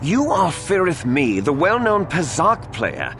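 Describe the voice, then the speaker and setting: An actor playing a smug, combative prosecutor in a video game, formal and pompous in manner, addressing a courtroom. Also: male voice, on the high side, wildly swooping pitch, unhurried, very noisy, heavily acted. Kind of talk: theatrical courtroom game dialogue.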